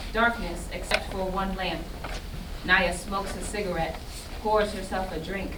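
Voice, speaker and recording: Woman narrating a play script, slow and measured, far from mic with noise. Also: medium pitch. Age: young adult. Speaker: reader of stage directions. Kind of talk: scripted narration, reading stage directions. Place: indoor staged reading before festival, distant mic. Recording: roomy, distant stage pickup, clear noise.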